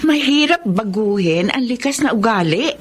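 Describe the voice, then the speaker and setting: A woman's voice: a little high, lively intonation, moderate pace, a little hiss or hum, close to an everyday voice. A woman playing a sensible elder sister in a radio drama, chiding and giving advice. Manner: chiding, advising. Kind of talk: Tagalog radio drama acting.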